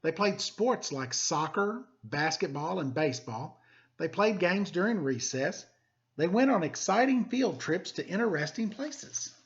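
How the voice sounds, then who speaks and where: A man's voice: sits high, big pitch swings, a little slow, muffled recording. A man hosting story time, reading a picture book aloud to children with playful, self-deprecating, chatty asides.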